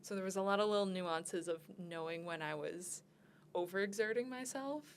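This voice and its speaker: A woman's voice: mid-range voice, moderate pace, slight background noise. A young woman co-hosting a podcast in casual chat, giggly and self-deprecating. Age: young adult. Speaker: podcast co-host.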